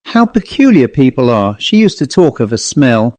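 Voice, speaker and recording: A man's voice: mid-range voice, very animated, normal speed, a little mellow, no room sound, lightly acted. A lonely old ghost woman, played by a male reader, quavering and whistling as she wheedles for company. She is doleful and sly, a wistful figure from a storybook, recorded close and dry. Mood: plaintive.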